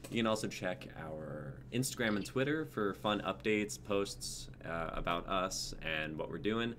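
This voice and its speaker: A man's voice: low voice, expressive, unhurried, a bit bright. A young man hosting a livestream in casual sign-off chat. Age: young adult. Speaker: livestream host. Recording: background noise, livestream audio.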